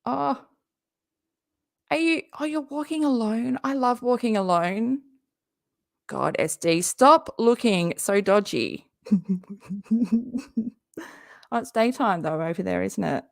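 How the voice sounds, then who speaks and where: Hoarse, gravelly woman hosting a live reaction stream, jokey and chatty, dry close sound. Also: on the high side, expressive, takes it slowly, long pauses, no room sound. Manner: chatty, jokey, talks to her viewers. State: hoarse, often losing her voice. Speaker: YouTube live-stream host and comedian.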